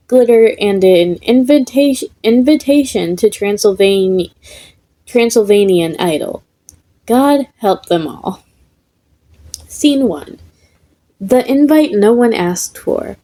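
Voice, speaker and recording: Unhurried woman reading a story aloud. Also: mid-range voice, warm tone. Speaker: podfic reader. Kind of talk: scripted narration of comic fan fiction.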